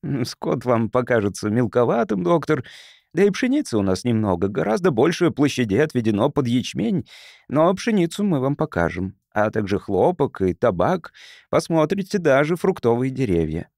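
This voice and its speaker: A man reading as a proud, guarded male councillor in a science fiction audiobook. He explains things formally, with widely moving pitch, in a dry recording. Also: sing-song, normal speed, gravelly, darkish tone, dry recording. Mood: formal, reserved.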